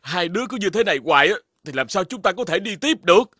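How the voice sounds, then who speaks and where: A man's voice: high voice, expressive, fast, exaggerated voice. A man voicing a caring but scolding father dog in a children's cartoon, exclaiming and instructing. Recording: loud music over voice.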